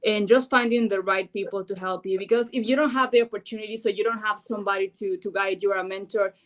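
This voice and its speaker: A woman's voice: medium pitch, fairly even intonation, quick pace. A woman from Ecuador with an Ecuadorian Spanish accent, a news anchor giving earnest, unscripted interview answers full of fillers. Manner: earnest, thinking aloud with many fillers.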